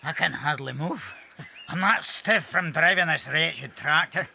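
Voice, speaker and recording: Mean bossy farmer, sneering, piercingly sharp, creaky, audiobook drama. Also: male voice, fairly high pitch, measured pace, exaggerated voice. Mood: determined, grumbling. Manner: sneering, domineering. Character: mean, scheming thin farmer Bean, bossy ringleader. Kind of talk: dramatized children's audiobook acting.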